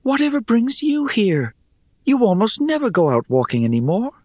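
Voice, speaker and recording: Male narrator as young female friend in fantasy audiobook. Raised, lilting pitch, emotional, intense, sincere. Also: huge pitch swings, normal speed, darkish tone, no echo, heavily acted. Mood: surprised concern.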